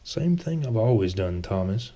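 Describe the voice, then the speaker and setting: A man acts out a hardened swordsman from a fantasy novel. He talks low and curt, full of gravel and blunt scorn, and stubbornly holds his ground. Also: sing-song, moderate pace, dark tone. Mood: gruff. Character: gruff, bitter, stubborn swordsman, old friend.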